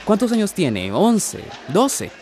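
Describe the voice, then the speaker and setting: A man's voice: sits high, wildly swooping pitch, takes it slowly, a little mellow, slight background noise, heavily acted. An actor playing a polite, concerned young townsman in a radio drama, hesitant and courteous.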